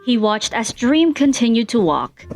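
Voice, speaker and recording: Expressive woman narrator with lively pitch. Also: measured pace, soft background music. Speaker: fan fiction narrator. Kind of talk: expressive scripted story narration.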